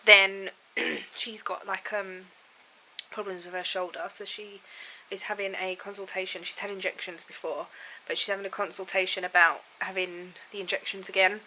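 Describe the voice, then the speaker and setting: A woman's voice: medium pitch, flat delivery, normal speed, sharp tone, slight background noise, poor audio. A woman with a cold and a froggy, bunged-up throat, chatting casually and rambling to camera from her home. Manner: rambling and chatty, laughs often. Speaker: vlogger. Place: at home indoors.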